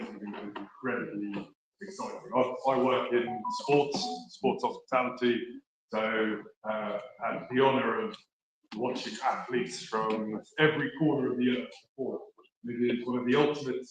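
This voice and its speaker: A man's voice: medium pitch, fairly even intonation, normal speed, slight background noise. Man from South Africa, earnest speech with fillers, very echoey hall. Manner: earnest, loose, with frequent fillers. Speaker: award guest speaker. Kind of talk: short speech at an awards event.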